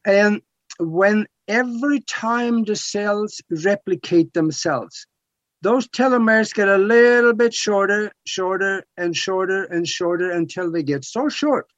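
A man's voice: high-pitched, measured pace, no room sound. A man in his seventies from Norway with a Norwegian accent, explaining things emphatically as a talk radio guest. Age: 70s. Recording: dull lo-fi talk radio audio.

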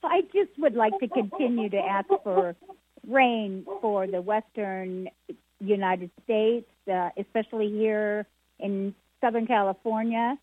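A woman calling in by telephone with a gentle spoken prayer request. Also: mid-range voice, unhurried, no echo. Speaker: caller. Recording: dry narrow phone line.

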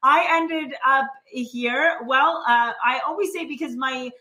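A woman's voice: sits high, takes it slowly. A woman from Canada, a financial planner and author, telling personal stories as a guest on a remote interview, with frequent laughter and many fillers. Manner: warm storyteller, laughs often, many fillers. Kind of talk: podcast interview.

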